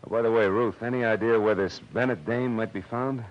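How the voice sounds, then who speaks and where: An actor playing a wry, tough-talking, slangy private eye in 1940s radio detective style. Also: male voice, lowish voice, steady tone, fairly quick, muffled recording, close to an everyday voice. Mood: wry, businesslike. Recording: noisy old 1940s radio recording.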